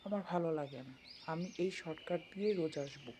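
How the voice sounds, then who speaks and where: A woman's voice: low-pitched, fast talker, very noisy, close to an everyday voice. A woman playing a stubborn, fearless young grandson in a folk-tale cartoon, insistent and argumentative. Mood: defiant.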